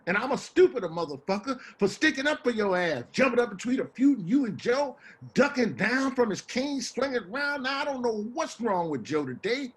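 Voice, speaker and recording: An actor playing a rambling, wheedling homeless man with American street slang and a husky, stammering delivery, in a stage play reading. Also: very high voice, sing-song, normal speed, a bit bright, exaggerated voice. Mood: argumentative. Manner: stammering, repeats words, folksy street slang.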